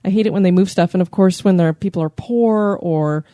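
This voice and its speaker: A woman's voice: lowish voice, fairly quick, mellow, no echo. Chatty woman podcast host, dry sound. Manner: chatty, laughs easily. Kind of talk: casual chat.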